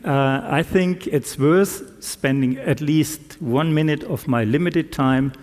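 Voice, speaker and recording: A man who is not a native English speaker, giving a conference talk in a slow, deliberate way with self-deprecating humor. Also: fairly high pitch, a little mellow. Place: conference hall. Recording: reverberant conference hall.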